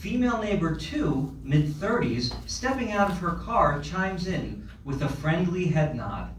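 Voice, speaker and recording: Higher-voiced man narrating, echoey room. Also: sing-song, measured pace. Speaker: stage-direction narrator. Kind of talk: scripted narration at a live table read. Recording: echoey room, distant mic, clear background noise.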